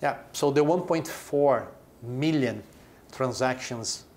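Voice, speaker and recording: A man's voice: mid-range voice, takes it slowly, a little mellow. A man in his forties from Brazil, a company chief explaining his business in an interview, with short emphatic phrases. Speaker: chief executive of a brewing company.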